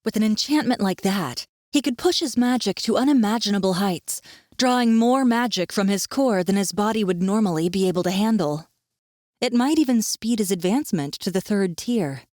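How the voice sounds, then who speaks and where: A female audiobook narrator telling a fantasy story from a script. Her voice sits at an average pitch in a dry, close recording. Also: lively intonation, moderate pace, darkish tone, no room sound.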